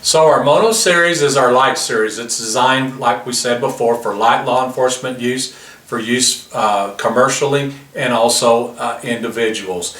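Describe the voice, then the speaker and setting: A man's voice: medium pitch, normal speed, fairly bright tone. A man demonstrating a vehicle intercom system, explaining each feature step by step in a plain way, recorded outdoors beside a car. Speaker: product demonstrator. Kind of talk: product demonstration. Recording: roomy, distant mic with faint noise.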